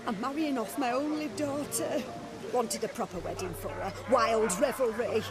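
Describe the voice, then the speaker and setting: Brief: actress as middle-aged mother of the bride; theatrical, exasperated, higher voice. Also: moderate pace, close to an everyday voice. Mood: gushing.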